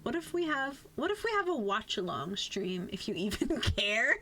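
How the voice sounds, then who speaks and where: A woman's voice: a little high, sing-song, normal speed, pushed and bright. A young woman livestreamer chatting casually, playful and informal, swearing freely. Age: young adult. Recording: music playing behind the voice. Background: music.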